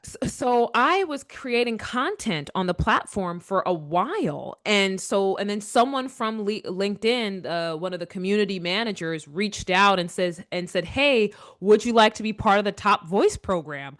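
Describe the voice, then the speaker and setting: A woman's voice: mid-range voice, lively intonation, moderate pace, hardly any pauses, fairly bright tone. A woman, a leadership coach, talking earnestly as a podcast guest and often restarting her sentences. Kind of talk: casual interview chat.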